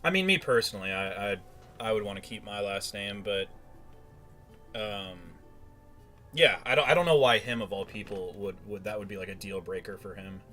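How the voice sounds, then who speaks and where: A man's voice: on the low side, moderate pace, bright, forceful tone, faint music behind. A man giving casual, mocking commentary in a sarcastic and profane manner. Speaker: commentator reacting to a played recording.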